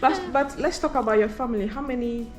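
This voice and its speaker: A woman's voice: a little high, fast talker, heavy background noise. A woman hosting a casual group interview, putting questions to her guests and chatting with them. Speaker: host. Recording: music behind the voice. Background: music.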